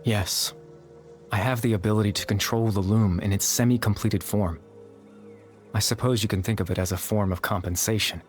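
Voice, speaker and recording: Man voicing resigned game character, lowish voice, measured, formal, melancholy, calm, soft music behind. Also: lightly acted. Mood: somber, resigned. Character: melancholy male being resigned to his sacrifice, calmly explanatory. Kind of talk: dramatic video game voice acting.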